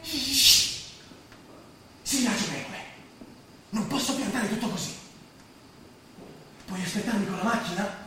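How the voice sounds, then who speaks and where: A woman's voice: medium pitch, animated, measured pace, pauses often, pushed and bright. A woman acting a harried, secretive figure in a stage play, speaking tersely. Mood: tense, hushing. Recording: echoey hall, distant mic, noisy.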